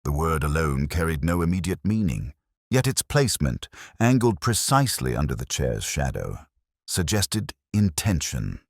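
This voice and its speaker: Very deep-voiced man narrating a mystery. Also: very animated, no echo, clean studio sound. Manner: measured, literary, contemplative delivery. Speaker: narrator of a detective mystery story. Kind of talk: scripted first-person audiobook-style mystery narration.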